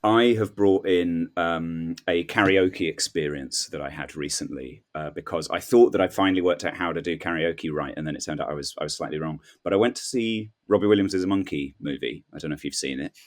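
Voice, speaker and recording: Bloke w a lowish voice, comedy podcast guest, rambly whimsical chat, acts out little quotes. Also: male voice, a little fast, hardly any pauses. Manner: rambling, whimsical anecdote telling with acted-out quotes. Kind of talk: casual comic chat.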